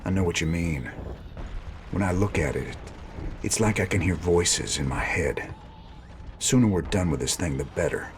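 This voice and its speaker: An actor playing an earnest, weary but determined rebel commander in a video game. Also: male voice, low-pitched, lively intonation, normal speed, long pauses, a little hiss or hum. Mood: uneasy.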